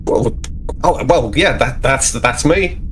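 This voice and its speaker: A man's voice: medium pitch, takes it slowly, a little hiss or hum, lightly acted. Man playing a stammering, eager young starship ensign. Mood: boastful, flustered. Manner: stammering, repeating words as he goes. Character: eager, boastful but awkward young male starship ensign. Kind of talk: improvised tabletop roleplay.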